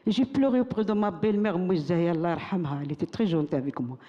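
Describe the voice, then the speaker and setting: A woman's voice: on the low side, fast talker, warm tone, highs cut off. Older woman chanting in a hall. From Morocco. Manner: rhythmic, emphatic, repeats phrases like a chant. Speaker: poet reciting her own text. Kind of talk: spoken-word recitation to an audience.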